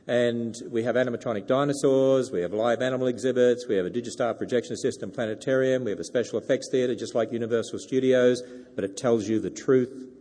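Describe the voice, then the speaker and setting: A man's voice: medium pitch, fairly even intonation, fast talker, mellow. Australian man preaching in an echoing hall. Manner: folksy with joking asides. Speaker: guest speaker. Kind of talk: sermon to a congregation. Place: church sanctuary. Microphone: wireless handheld microphone. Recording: reverberant hall.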